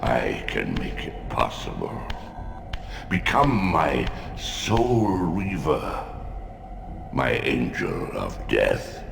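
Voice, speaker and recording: Immensely deep, echoing ancient god. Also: male voice, wildly swooping pitch, exaggerated voice. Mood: solemn, commanding. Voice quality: cavernous, gravelly. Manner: slow, grave, imperious pronouncements. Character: ancient god-like underworld being, commanding and solemn. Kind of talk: theatrical video game voice acting. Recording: long booming reverb, music behind.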